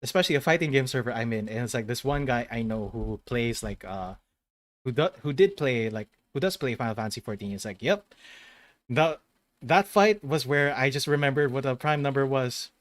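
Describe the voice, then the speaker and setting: A man's voice: mid-range voice, expressive, a little fast, dry recording. Rambling man hosting a gaming stream. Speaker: host of a gaming channel. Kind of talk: casual chat on a stream.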